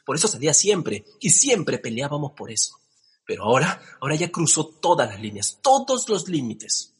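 An actor playing an excitable, self-pitying lovesick man in a radio comedy, theatrical and swinging between pleading and sarcasm. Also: a little high, normal speed, breathy edge, full character voice. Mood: agitated, self-pitying.

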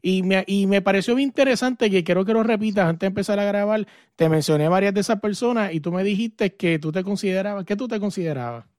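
A man interviewing a guest in a chatty, eager way, clipping his words casually. His voice is a touch high. The sound is dry and close, with no room echo. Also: quick pace, few pauses, a little mellow. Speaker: interviewer, host.

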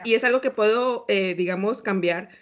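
A woman from Colombia with a mild Colombian accent, co-hosting a casual chat in a relaxed, explanatory way. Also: mid-range voice, normal speed, muffled recording. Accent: Colombian, softened by years in Spain. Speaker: podcast co-host.

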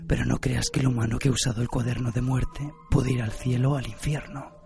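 A man's voice: mid-range voice, flat delivery, fairly quick, gravelly, a little mellow, soft background music, lightly acted. A man playing an amused, taunting spirit of death in an anime radio drama, with a theatrical, mocking manner. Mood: mocking, eerie.

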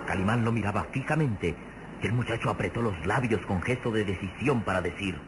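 A man's voice: fairly low pitch, brisk, over music, lightly acted. A man narrating an adventure radio serial in a formal, emphatic, suspenseful style. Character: grave, vivid narrator of a heroic adventure serial. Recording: noisy old radio serial recording.

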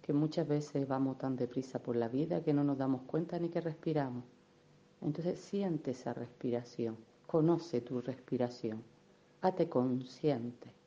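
A woman leading a guided meditation in a gentle, unhurried, soothing manner, with affectionate little diminutives. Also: rumbling low, dull audio. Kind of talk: guided meditation, soft instruction.

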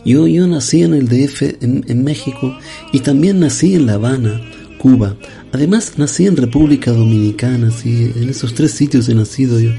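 A man's voice: medium pitch, moderate pace, gravelly, dark tone, soft background music, close to an everyday voice. A man voicing a pompous, absurd aging bolero crooner who is a guest on an interview show, in a comedy sketch. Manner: grandiose, addresses host as young man.

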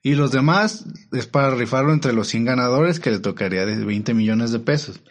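A young man with a Mexican accent, calmly explaining points in a casual chat. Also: medium pitch, normal speed. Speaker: co-host.

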